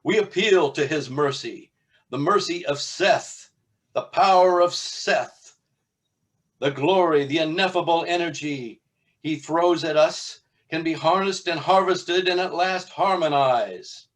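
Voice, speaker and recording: Fervent male high priest, acted by a man reading aloud, raised pitch, incantatory, bellowing, drawn-out phrases, dystopian audiobook style. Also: close to an everyday voice. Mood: fervent invocation.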